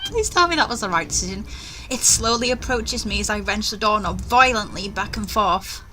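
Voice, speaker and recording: A woman's voice: medium pitch, lively intonation, normal speed, pushed and bright, a little hiss or hum, poor audio. A woman hosting a gaming video, reading a story aloud theatrically with casual commentary. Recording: faint music behind.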